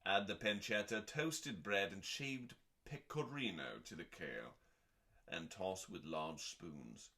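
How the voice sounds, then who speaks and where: A man reads recipes aloud with theatrical weight. His delivery is slow and deliberate, broken into short phrases with clear pauses. The voice is bright and forceful, with dramatic rises and falls and the odd deadpan aside. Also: sits low. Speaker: host reading cookbook recipes aloud.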